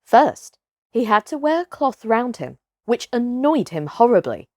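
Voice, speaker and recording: Slow, high-voiced woman reading a storybook. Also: big pitch swings, no room sound, studio quality. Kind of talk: expressive scripted storybook narration.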